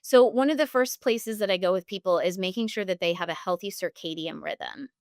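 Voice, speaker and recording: A woman's voice: mid-range voice, fairly quick, no room sound, clean studio sound. A woman speaking as a health practitioner and interview guest, giving long, earnest, instructive explanations.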